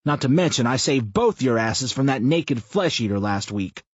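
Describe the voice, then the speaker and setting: A man voicing a crude, loud, foul-mouthed man who jokes and mocks in an audiobook. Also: mid-range voice, lively intonation, normal speed, breathy edge, no room sound, lo-fi sound, voice pushed up. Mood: brash. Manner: brash, swearing, mocking.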